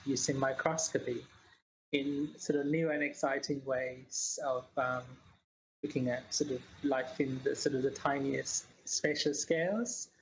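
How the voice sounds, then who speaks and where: A woman's voice: extremely low pitch, fairly even intonation, a little slow, slight background noise, low-quality recording. A woman from New Zealand, a guest scientist, explaining her work in a casual interview with many hesitant fillers.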